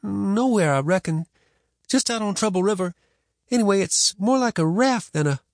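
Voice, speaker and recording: A man's voice: high voice, lively intonation, moderate pace, no room sound, studio quality, voice pushed up. A man voicing an earnest, defensive young frontier boy in a storybook, with plain folksy rural speech.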